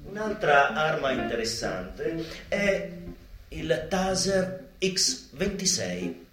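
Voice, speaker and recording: A man delivers an ironic theatrical monologue in a roomy live space, with guitar playing clearly behind him. His pitch swings widely as he stretches out phrases slowly, leaving dramatic pauses for emphasis. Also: big pitch swings, a bit bright. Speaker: stage performer. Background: live guitar music.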